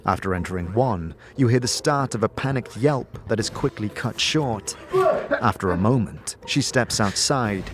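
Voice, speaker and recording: A man giving dramatic, descriptive second-person narration for a fantasy audio drama, deliberate and theatrical. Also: on the low side, expressive. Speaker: narrator and game-story performer. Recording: faint music behind the voice. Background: faint music.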